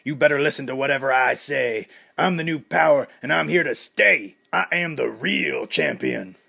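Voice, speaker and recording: A swaggering, arrogant heavyweight fighter, voiced by a male narrator, ranting loud and pushy. The boasts come in a raised, forceful voice, in a spoken storybook. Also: medium pitch, sing-song, moderate pace, gravelly, breathy edge, voice pushed up, full character voice. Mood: boastful ranting.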